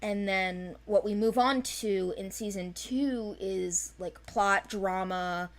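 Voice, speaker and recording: A woman's voice: medium pitch, slow. Woman, mid-range voice, chatty, informal, unscripted, explanatory, creator presenting a project. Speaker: animated series creator presenting characters for auditions. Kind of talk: casual unscripted project discussion.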